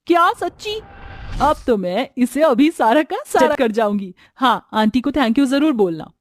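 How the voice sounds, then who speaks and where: Bubbly high-pitched young best friend, voiced by a woman. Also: normal speed. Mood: delighted. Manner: excitable, gushing.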